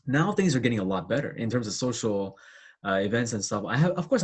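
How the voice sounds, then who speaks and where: A man's voice: fairly low pitch, breathy edge. A young man talking casually with many fillers and restarts, sharing his experiences as co-host. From Canada. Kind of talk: casual discussion.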